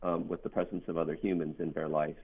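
A middle-aged non-binary author with a deep bass voice, speaking thoughtfully and earnestly in an interview, pausing on ums and restarting sentences. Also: flat delivery, vocal fry, husky. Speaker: author guest. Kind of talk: reflective interview talk. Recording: narrow phone-line sound.

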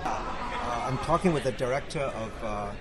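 A man hosting an informal interview, asking questions haltingly as he searches for words. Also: medium pitch, a crowd in the background. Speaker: interviewer and show host.

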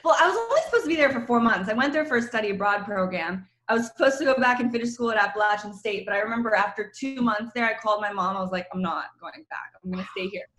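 A woman from Croatia, a novelist guest on a radio interview by phone, chatty and animated, laughing often. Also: on the high side, quick pace, hardly any pauses, roomy sound. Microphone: phone call.

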